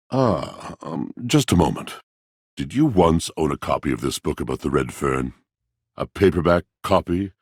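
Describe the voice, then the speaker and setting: Video game role: a haughty, gloomy literary-snob appliance. Low, rough voice, theatrical and archaic delivery, close dry sound. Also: male voice, no room sound, heavily acted. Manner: pompous, archaic, florid, brooding diction. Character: pompous, melancholy old-fashioned talking washing machine, male, literary snob.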